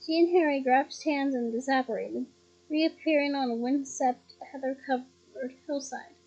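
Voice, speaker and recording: Woman reading a book on her bed, kinda hoarse, high voice, giggly little side comments. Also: normal speed, darkish tone, lo-fi sound. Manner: chatty, giggly asides between read passages. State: slightly hoarse. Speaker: reader of a novel. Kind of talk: casual read-aloud with asides.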